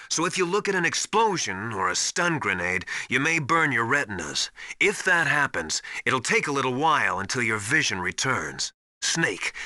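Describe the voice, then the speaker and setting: An actor playing a stern veteran military survival instructor in a video game, briskly lecturing with emphatic, commanding authority. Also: male voice, medium pitch, bright, forceful tone, no echo, clean studio sound, heavily acted. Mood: stern, instructive, then firm, advising. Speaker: mission survival adviser. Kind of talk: video game voice acting, instructional radio briefings.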